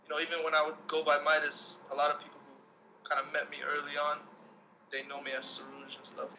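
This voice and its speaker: A man's voice: fairly high pitch, monotone, fairly quick, sharp tone, slight background noise, poor audio. A man in his thirties, from Lebanon and raised in the US, talking as an interview guest in a laid-back, rambling way with lots of fillers. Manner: laid-back, rambling, many fillers like "you know what I'm saying". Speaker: guest, breakdancer. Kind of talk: casual interview chat.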